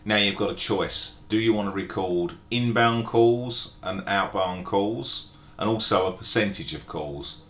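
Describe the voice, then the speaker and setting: A man narrating an informal step-by-step software tutorial in a methodical, explanatory way. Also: unhurried, few pauses. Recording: echoey room, background hiss and rumble.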